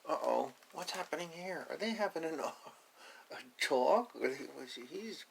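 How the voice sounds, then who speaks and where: A man's voice: on the high side, lively intonation, moderate pace, vocal fry, slight background noise. A man reading a picture book aloud to young children, adding playful, simple explanations in casual asides.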